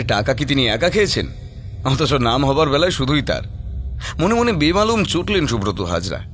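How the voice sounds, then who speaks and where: A man's voice: mid-range voice, fairly bright tone. A man narrating a scripted horror audio story in a storytelling style.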